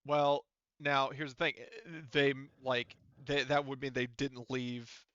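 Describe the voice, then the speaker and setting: A man running a casual tabletop roleplaying session as game master, explaining things in a halting way. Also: medium pitch, little pitch movement, bright, forceful tone, muffled recording.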